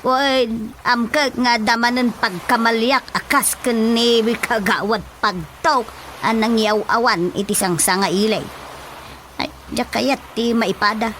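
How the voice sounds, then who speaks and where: A woman's voice: on the high side, steady tone, unhurried, fairly bright tone, slight background noise, rough recording. A woman acting in an Ilocano radio drama as an evasive village councilwoman, hesitant and hedging. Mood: defensive. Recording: faint music behind the voice. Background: light background music.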